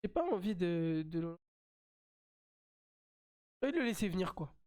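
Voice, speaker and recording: Smooth-voiced French man streaming a game. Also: high voice, expressive, normal speed, warm tone. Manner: chatty, repeats himself while playing. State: has a slight cold. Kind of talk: live mobile game commentary.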